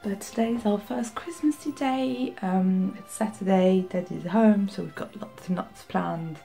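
A woman in her thirties chatting casually to camera and to her young children in a home vlog, sing-song and animated with the kids. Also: mid-range voice, unhurried, vocal fry, faint music behind, rough recording. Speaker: vlogger and mother. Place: indoors at home, kitchen and bedroom.

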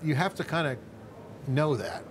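A middle-aged man hosts a tech interview in a conversational, rambling way, often restarting his sentences. He's on a lapel mic in a studio set. A clear murmur of floor ambience sits behind him. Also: fairly high pitch, moderate pace, slight background noise. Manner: loose, rambling, thinks aloud with restarts. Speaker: host.

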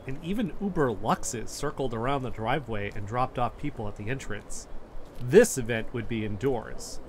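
An actor playing an earnest amateur investigator, narrating events in first person as scripted audio drama. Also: male voice, medium pitch, slight background noise, close to an everyday voice.

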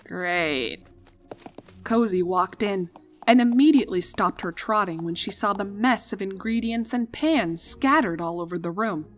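Woman narrating a story over music. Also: mid-range voice. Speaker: fan fiction narrator. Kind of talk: expressive scripted story narration.

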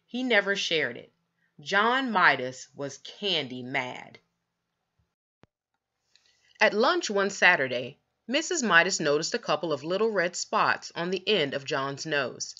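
Animated, bright-voiced woman reading a story. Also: lowish voice, measured pace, dull audio. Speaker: children's book narrator. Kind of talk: expressive read-aloud storytelling.

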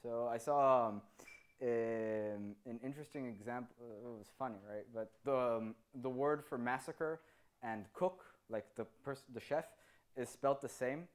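Man, 30s, native Hebrew speaker, conference talk, handheld mic, animated, audience Q&A. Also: mid-range voice, unhurried. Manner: animated, gestures with free hand, tags sentences with "right?". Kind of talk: answering audience questions. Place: meeting room with audience. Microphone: handheld microphone.

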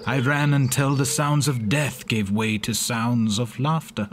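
An actor playing an anguished reanimated monster, a man reciting his story gravely in measured horror verse. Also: mid-range voice, steady tone, slight background noise, lightly acted. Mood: yearning, intense. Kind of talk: dramatic horror monologue.

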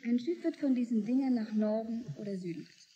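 An actress playing a sharp-tongued, skeptical young woman in a radio play, dry and probing in her delivery. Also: on the high side, fast, lightly acted. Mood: insistent. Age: young adult. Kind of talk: German radio play dialogue. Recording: dull, slightly noisy radio drama recording.

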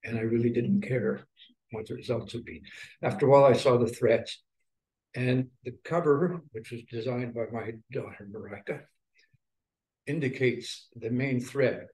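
Unhurried older man, a reflective poet. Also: mid-range voice, darkish tone, poor audio. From Manitoba, Canada. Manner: unhurried, reflective, pausing between short phrases. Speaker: featured poet. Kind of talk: informal explanatory talk at a poetry reading.

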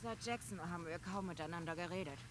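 A woman's voice: lowish voice, fast talker, background noise, natural voice. An actress voicing a tough, guarded young woman soldier in a video game, curt and blunt. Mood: annoyed. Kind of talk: German game dub.

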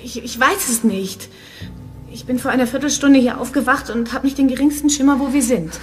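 A woman's voice: high voice, brisk, faint music behind, close to an everyday voice. An actress playing a confused, wary young woman held captive in a radio play, guarded and insistent. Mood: anxious. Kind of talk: German radio play acting.

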